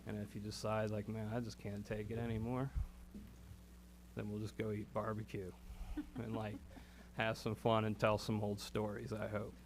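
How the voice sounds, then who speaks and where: A man with a low voice that stays flat in tone, making council remarks. He talks in an earnest, folksy way and pauses on fillers. A faint hum sits under the recording. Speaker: council member.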